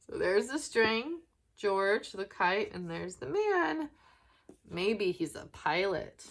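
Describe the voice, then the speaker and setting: Slow-paced woman reading a children's storybook. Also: medium pitch. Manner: expressive, asking the listener questions. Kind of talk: read-aloud storybook narration with asides to young listeners.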